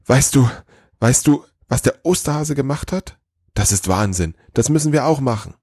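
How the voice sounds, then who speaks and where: A man voicing an eager, excitable chief Christmas elf in a storybook tale, breathless and gushing. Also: medium pitch, normal speed, breathy edge, no echo, clean studio sound, pitched above the performer's own voice. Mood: breathless excitement.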